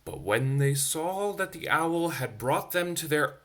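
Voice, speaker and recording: A man reading a children's bedtime story aloud in an expressive, dramatic storyteller's manner. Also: on the high side, measured pace.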